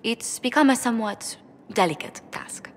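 A woman's voice: on the high side, expressive, normal speed, a little hiss or hum, lightly acted. Woman playing a polite formal lady ambassador in a fantasy game, swoopy intonation, echoing hall. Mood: dry, concerned. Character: poised, courteous female court diplomat. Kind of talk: video game dialogue acting.

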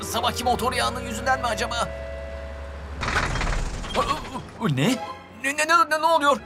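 A man's voice: extremely high pitch, normal speed. A man playing a famous, theatrical male sports car in a dubbed children's cartoon. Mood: panicked. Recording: music behind the voice.

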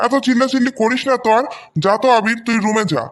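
Man acting chiding high-voiced Bangladeshi elder brother. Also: steady tone, quick pace. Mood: exasperated. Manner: chiding, brushing quarrels aside. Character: placating, dismissive elder brother, head of household. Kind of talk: amateur cartoon story dubbing.

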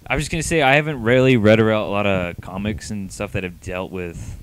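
A man's voice: fairly low pitch, quick pace, smooth. A young man co-hosting a podcast, chatting casually and enthusiastically into a handheld microphone. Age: young adult. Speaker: podcast co-host, reviewer. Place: small room, indoors.

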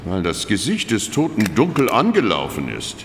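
An actor playing a fussy, reluctant medical examiner in an audio drama, pedantic and clinical. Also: male voice, fairly low pitch, wildly swooping pitch, normal speed. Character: grudging, fussy male provincial medical examiner. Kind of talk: German audio drama acting. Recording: long hall reverb with background noise.

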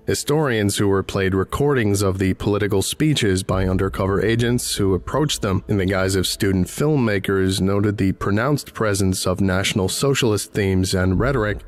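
Low-voiced man narrating formally. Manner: formal, clinical, measured delivery. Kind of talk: scripted documentary-style narration.

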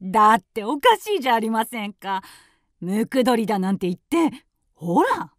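A woman's voice: on the high side, big pitch swings, moderate pace, noisy background. A woman playing an amused, teasing village landlady in a radio drama.

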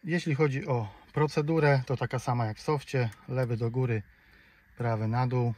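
A man's voice: mid-range voice, steady tone, moderate pace, darkish tone. A man with a Polish accent giving a casual step-by-step car tutorial in a conversational, slightly hesitant way. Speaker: host of a car DIY tutorial channel. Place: inside a car. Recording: clear background noise, car ambience.

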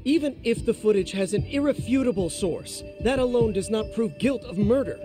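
A man's voice: high-pitched, lively intonation, moderate pace, music in the background. An actor playing a skeptical, combative prosecutor in his thirties in a courtroom drama game, brusque and challenging.

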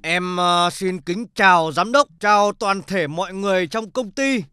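Guy doing nervous polite office worker in a cartoon, hesitant, loud music under. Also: sits high, a little slow, clear, resonant tone, dry recording, studio quality. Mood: deferential. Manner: hesitant, deferential. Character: timid deferential male office employee at a contest. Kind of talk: cartoon comedy acting.

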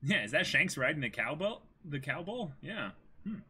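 Male co-host, twenties: animated, bright voice with a rough edge, casual joking banter. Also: fairly high pitch, moderate pace. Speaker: co-host of a manga dramatic reading.

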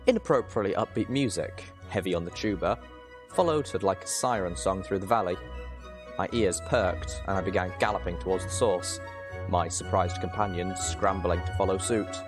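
Low-pitched man narrating a story. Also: moderate pace, music in the background. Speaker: narrator, reader.

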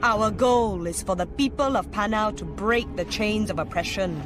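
An actress playing a proud, fervent revolutionary leader in a video game, speaking deliberately over music. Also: female voice, medium pitch, close to an everyday voice. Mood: proud, guarded. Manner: deliberate, rhetorical. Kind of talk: video game cutscene acting. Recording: loud music behind.

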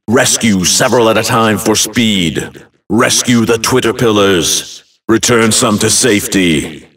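Casting: fantasy video game announcer, low-pitched, commanding, deliberate and emphatic, heard slightly off-mic. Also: male voice, fairly bright tone, recorded from a distance. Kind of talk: short announced game voice lines.

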